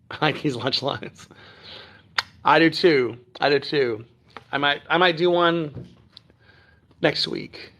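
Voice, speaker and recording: A man from Texas who speaks standard American, hosting a casual phone livestream from home and chatting through viewer comments with many ums and throat clears. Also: fairly high pitch, takes it slowly, pauses often, a bit bright. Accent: none, trained standard American. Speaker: livestream host. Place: at home near Dallas.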